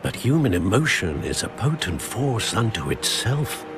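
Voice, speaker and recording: Wise, expressive wizard professor. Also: male voice, fairly low pitch, noisy background. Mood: gentle, cautioning. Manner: measured, formal phrasing. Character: wise, cautious senior wizard professor, gentle and grave. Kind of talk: dramatic video game voice acting. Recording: orchestral music behind the voice.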